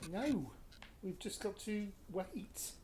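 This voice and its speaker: A man's voice: sits high, lively intonation, slow, darkish tone, a little hiss or hum. In an echoey room, a man acts out a middle-aged British husband, a vain and embittered actor in a radio play. He is scornful and exasperated, forever justifying himself. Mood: subdued.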